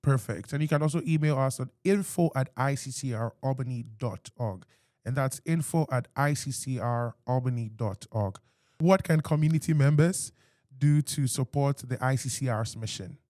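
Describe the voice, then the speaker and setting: A man's voice: mid-range voice, normal speed, a little mellow, dry recording. Ghanaian male podcast host, upbeat interviewer, dry close mic. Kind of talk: interview questions.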